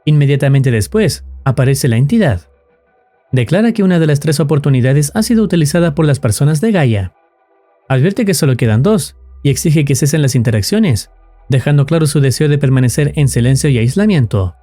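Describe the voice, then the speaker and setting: A man's voice: fairly high pitch, expressive, fairly quick, mellow, soft background music. A man reading scripted narration in the role of a storyteller explaining a tale.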